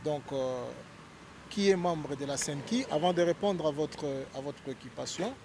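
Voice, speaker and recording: A man's voice: fairly high pitch, normal speed, darkish tone, a little hiss or hum. A man from Congo, a lawyer, explaining his association in an interview, hesitant with many fillers and growing more emphatic.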